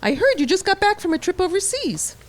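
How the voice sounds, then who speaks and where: Animated, firm schoolteacher. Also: female voice, very high voice, a little fast. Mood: firm, polite. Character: orderly female schoolteacher shushing her class.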